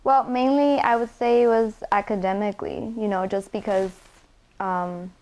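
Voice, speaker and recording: A woman's voice: mid-range voice, fairly even intonation, normal speed, a little mellow. A young woman from Ecuador, a college student, answering interview questions in a casual, conversational way with frequent "you know". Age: young adult.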